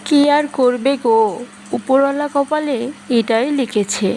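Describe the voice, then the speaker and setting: A woman's voice: sits high, unhurried, darkish tone, slight background noise. A woman playing a resigned, practical village wife and mother in a fairy-tale cartoon, homely and motherly in manner.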